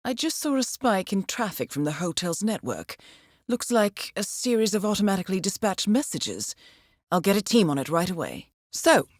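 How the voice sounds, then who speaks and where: A poised female intelligence handler from a stealth video game. Her voice sits a little low and moves expressively through formal, measured briefings with dry, wry amusement. The recording is very dry and free of room sound. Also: dry recording. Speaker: mission handler.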